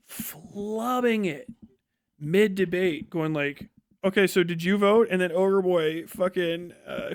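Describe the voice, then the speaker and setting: A man's voice: sits high, no room sound, studio quality. An American man livestreaming a casual, opinionated rant, blunt, scornful and full of profanity and slang. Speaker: livestream host and political commentator.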